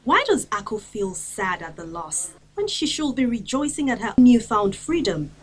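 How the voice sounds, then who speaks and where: A woman's voice: on the high side, sing-song, moderate pace, background noise. A woman delivering scripted narration as a narrator.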